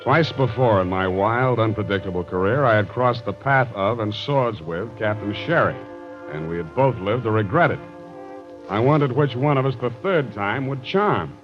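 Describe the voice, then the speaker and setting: An actor playing a sardonic, wisecracking private detective in a 1940s-style radio drama, with narration and banter. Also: male voice, on the low side, animated, moderate pace, natural voice. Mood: sardonic narrating. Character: wry hard-boiled male private detective narrating his case. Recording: dull vintage radio recording with music.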